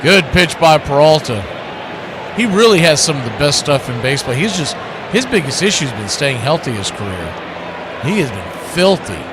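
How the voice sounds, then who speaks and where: Male baseball announcer, high voice, folksy showman who chats with listeners between pitches, noticeable background noise. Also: very animated, moderate pace. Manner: folksy showman, stock catchphrases and jokes. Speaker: baseball play-by-play radio announcer and stream host. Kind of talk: baseball play-by-play commentary with chatty asides to viewers.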